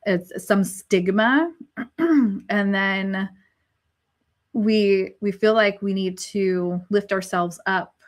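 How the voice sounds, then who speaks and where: A woman's voice: medium pitch, steady tone, very slow, pauses often. A woman hosting a live panel discussion, speaking reflectively with frequent restarts, who is five months pregnant. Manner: reflective, searching, many restarts. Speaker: host.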